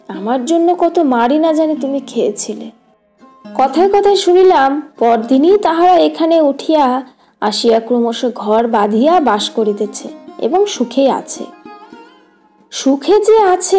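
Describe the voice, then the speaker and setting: High-pitched woman narrating, mellow, over guitar music. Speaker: audiobook narrator. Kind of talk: scripted literary story narration. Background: plucked guitar music.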